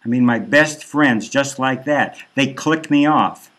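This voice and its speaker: A man reading his own dark satirical novel as a first-person audiobook narration. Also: medium pitch, unhurried.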